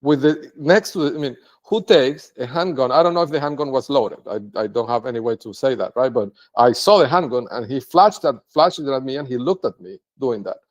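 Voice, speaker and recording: A man's voice: medium pitch, a little fast, hardly any pauses. A man whose first language is Spanish, speaking English in an unscripted, rambling monologue to camera, with many restarts and sentences ending in "right?". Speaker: video blogger.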